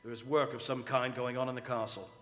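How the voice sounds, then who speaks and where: Radio-theatre role: terrified young gentleman prisoner acted by a man, dramatic and desperate, reverberant hall with clear musical underscore. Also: mid-range voice, little pitch movement, quick pace, music in the background, lightly acted. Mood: haunted dread. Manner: dramatic, anguished diary narration. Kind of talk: old-time radio drama acting on stage. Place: performing arts center stage.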